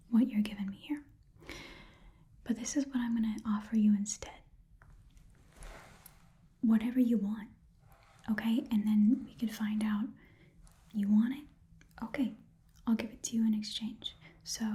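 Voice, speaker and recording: A woman voicing an easygoing goblin pawn shopkeeper in a soft, breathy whispered ASMR roleplay, haggling in a casual, rambling way. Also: a little high, steady tone, normal speed, long pauses, natural voice. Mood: casual, helpful.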